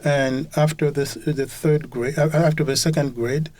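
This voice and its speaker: A man in his fifties from Congo, a college professor being interviewed, talking with many pauses and fillers. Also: a little high, steady tone, darkish tone. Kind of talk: conversational interview.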